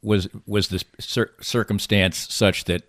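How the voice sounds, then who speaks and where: An American man hosts a relaxed interview, and his voice sits very low for a man. He asks questions and tells anecdotes in an easygoing way. The sound is dry and close, with no room around it. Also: a little slow, dry recording. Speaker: interviewer, host. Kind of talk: conversational interview.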